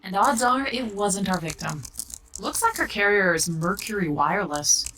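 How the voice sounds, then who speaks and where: An actress playing a direct, tough police detective in a video game. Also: female voice, medium pitch, animated, normal speed, pushed and bright, distant mic, slight background noise, low-quality recording. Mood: concerned. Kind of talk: video game dialogue acting.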